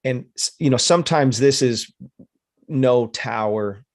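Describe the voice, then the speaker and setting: A man hosting a casual interview, talking in a rambling, halting way as he works toward his question. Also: medium pitch. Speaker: podcast host, paramotor pilot.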